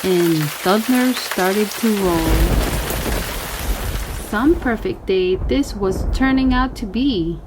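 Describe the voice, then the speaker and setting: A woman's voice: mid-range voice, slow, very noisy. A woman reading a children's story aloud, expressively acting out characters and sound words. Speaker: storybook reader.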